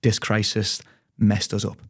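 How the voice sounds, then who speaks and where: A man's voice: fairly low pitch, unhurried. Chatty man with a light Scouse accent. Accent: light Liverpool (Scouse). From England, raised in Spain. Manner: chatty, animated storyteller. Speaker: guest. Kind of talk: casual interview chat.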